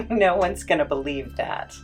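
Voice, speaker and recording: A woman's voice: fairly low pitch, animated, measured pace, slightly rough, faint music behind, full character voice. A woman playing a bumbling, servile, hesitant underling in a scripted comedy podcast skit. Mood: meek, cheeky. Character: bumbling, deferential underling of a dark master.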